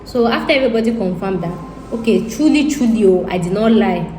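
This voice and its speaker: A woman's voice: mid-range voice, animated, fairly quick, a little mellow. A Nigerian woman talking casually to her viewers, thinking aloud and repeating herself as she rambles. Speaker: YouTuber. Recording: echoey room with faint ambient noise.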